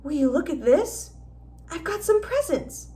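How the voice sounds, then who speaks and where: A woman's voice: very high voice, big pitch swings, a little slow, dark tone, voice pushed up, full character voice. A woman reading a storybook and voicing a modest, friendly young schoolboy hero with an appreciative manner. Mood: delighted surprise.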